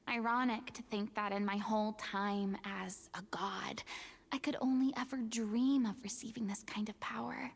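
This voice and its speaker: An actress voicing a theatrical young former goddess in a video game, earnest, wistful and measured. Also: female voice, medium pitch, steady tone, creaky, breathy edge. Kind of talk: video game voice acting, dramatic monologue.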